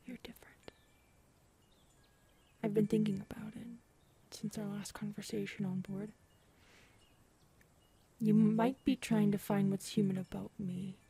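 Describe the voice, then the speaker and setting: A woman playing a big, earnest predatory alien woman in an intimate audio roleplay, soft and hesitant, often murmuring. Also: mid-range voice, husky. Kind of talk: audio roleplay acting, addressed to listener.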